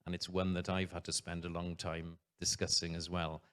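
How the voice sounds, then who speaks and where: Earnest head teacher, lowish voice. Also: male voice, monotone, moderate pace, a bit bright, smooth. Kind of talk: conference talk.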